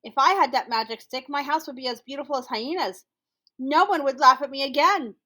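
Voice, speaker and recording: A woman's voice: sits high, brisk, sharp tone, voice pushed up. A woman voicing a lazy, boastful male spider in a children's folktale, bossy and dramatic, with emphatic commands. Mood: scheming.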